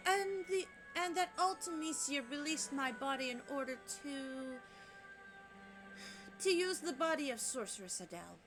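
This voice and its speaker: Woman, let's play narrator, light youthful voice, unhurried, over game music. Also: sits high, expressive. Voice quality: light, youthful voice she compares to a teen boy's. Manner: chatty, self-deprecating, with frequent laughs. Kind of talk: casual gameplay commentary.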